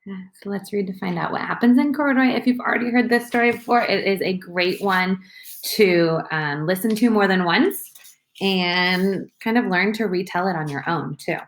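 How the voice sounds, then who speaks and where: A woman's voice: medium pitch, moderate pace. A woman teacher reading a children's storybook aloud, instructive and addressing young listeners. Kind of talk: animated children's storybook narration.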